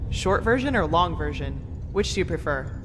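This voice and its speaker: A woman's voice: on the low side, expressive, moderate pace, background noise, natural voice. A woman voicing a blunt, sarcastic, foul-mouthed female mage researcher in a video game. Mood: wry, dismissive. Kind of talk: video game character dialogue. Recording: reverberant hall, loud music behind.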